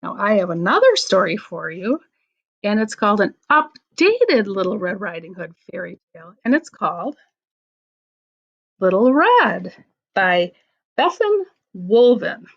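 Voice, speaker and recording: Slow, animated woman storyteller with creaky voice. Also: mid-range voice, muffled recording. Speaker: storyteller reading for schoolchildren. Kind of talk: read-aloud children's storytelling.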